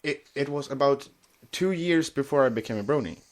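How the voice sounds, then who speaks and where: A man co-hosting a casual fan podcast, talking in a halting, rambling way and often repeating words. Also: mid-range voice, expressive. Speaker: podcast co-host.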